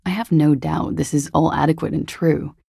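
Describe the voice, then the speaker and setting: Casting: hungover, guarded young disco dancer for a game. Mellow, dark tone, close dry sound, wry trailing delivery. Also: female voice, sits low, steady tone, moderate pace, gravelly, husky, dry recording, exaggerated voice. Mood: ironic, coolly intrigued. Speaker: voice actor in a video game. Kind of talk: video game character dialogue.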